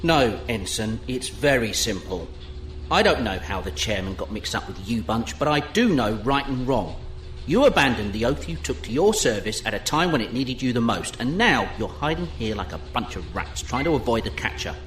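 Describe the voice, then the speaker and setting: A man's voice: mid-range voice, very animated, normal speed, fairly bright tone. A man playing a stern, contemptuous ex-fleet veteran in a science fiction audio drama, speaking in a clipped, scornful manner. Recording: roomy echo with clear ambient noise.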